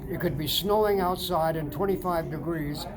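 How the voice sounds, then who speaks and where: A man in his ninetieth year, talking casually from inside a sauna, halting with many fillers and restarts. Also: fairly high pitch, over a noisy crowd. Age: older. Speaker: sauna customer. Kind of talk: casual testimonial chat. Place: indoors, seated in a sauna.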